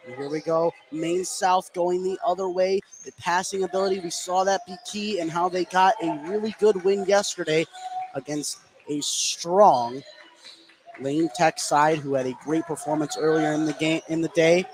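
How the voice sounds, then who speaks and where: A man hosting and calling a live high school basketball game as play-by-play commentator. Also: steady tone, normal speed, a crowd in the background, poor audio.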